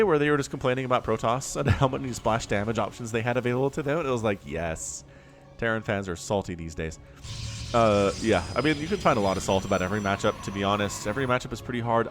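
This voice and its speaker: A young man with a sniffly cold, giving chatty solo commentary on a strategy game and wandering off on jokey tangents. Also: mid-range voice. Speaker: StarCraft shoutcaster.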